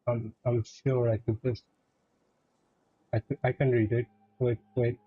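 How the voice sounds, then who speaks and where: A man's voice: mid-range voice, level tone, unhurried, slightly rough, no room sound. A man casually commenting live as a game streamer, on a dull, dry mic. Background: game music.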